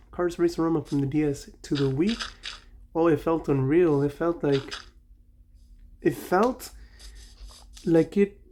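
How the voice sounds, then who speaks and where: A man's voice: fairly high pitch, dark tone. A young man with a Mexican accent, chatting casually and nostalgically while playing a game, often correcting himself mid-sentence. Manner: rambling, nostalgic, self-correcting. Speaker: gaming channel host.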